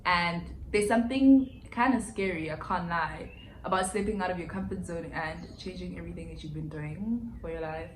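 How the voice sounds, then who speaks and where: A woman's voice: fairly low pitch, normal speed. Candid young woman vlogging. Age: 20s. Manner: candid, chatty, reflective, slangy. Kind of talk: casual life update vlog. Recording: echoey room with faint background hiss.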